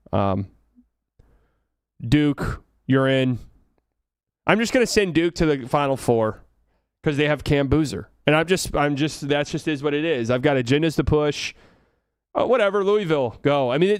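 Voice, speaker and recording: A man's voice: mid-range voice, clear, resonant tone, no echo, clean studio sound. A man from Texas with a light Texan accent, hosting a casual solo sports talk show, rambling, joking and thinking aloud. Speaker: podcast host.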